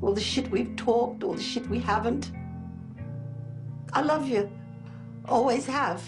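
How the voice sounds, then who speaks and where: A woman's voice: fairly high pitch, unhurried, lightly acted. An actress playing a blunt, tender-hearted middle-aged Australian woman in a naturalistic film drama. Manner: blunt, swearing, colloquial. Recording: music laid over the dialogue.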